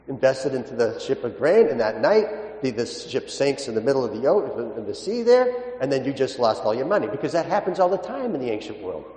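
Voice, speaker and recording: Priest preaching in an echoing hall. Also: male voice, medium pitch, darkish tone. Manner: storytelling, halting with repeated words, emphatic on key points. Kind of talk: sermon with anecdotes and gospel teaching. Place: large reverberant indoor space. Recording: echoey, reverberant church-like hall.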